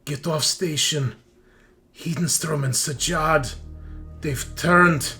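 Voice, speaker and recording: A man's voice: on the high side, takes it slowly, bright, forceful tone, soft background music, exaggerated voice. A man voicing an urgent female comrade who gives clipped, grave warnings over comms in a tabletop game.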